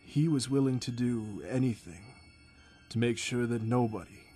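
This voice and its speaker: A man's voice: mid-range voice, moderate pace, dark tone, natural voice. Man as ominous narrator over music. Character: ominous male narrator of a horror tale. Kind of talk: scripted radio play narration. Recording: music under the voice.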